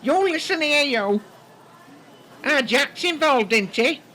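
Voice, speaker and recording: A man with a Northern English accent voicing a brash, loud middle-aged woman in a comedy dub. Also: very high-pitched, lively intonation, takes it slowly, exaggerated voice. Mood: brassy. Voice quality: brassy, loud, cheeky.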